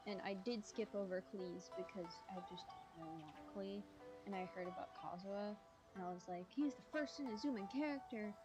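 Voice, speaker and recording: A young woman chats casually as a game streamer, at a medium pitch. She rambles along in an informal way, with music clearly playing behind her. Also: normal speed. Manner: chatty, rambling. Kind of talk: casual gameplay commentary.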